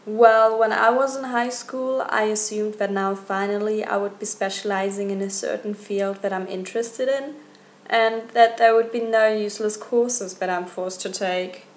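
Female student, 20s, calm and practical, echoey recording. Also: mid-range voice, moderate pace. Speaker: student guest. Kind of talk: scripted panel discussion.

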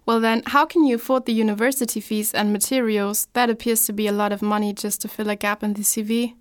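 Young female talk-show host, clear smooth tone, bright scripted delivery. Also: medium pitch, fairly even intonation, quick pace.

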